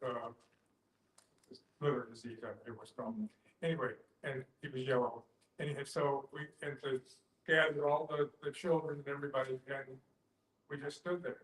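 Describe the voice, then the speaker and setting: A man speaking reflectively in a group discussion, telling personal stories in a halting way as he thinks aloud. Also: medium pitch. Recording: echoey room.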